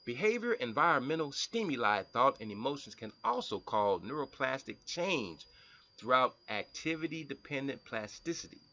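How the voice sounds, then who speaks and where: A man with a bright, forward voice and a very lively, swinging pitch, half reading and half riffing as he explains. He restarts and repeats words as he goes. The recording sounds dull and lo-fi. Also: normal speed. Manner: animated, breaks from reading to comment and mimic people. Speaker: health vlogger. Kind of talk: reading and explaining science text aloud.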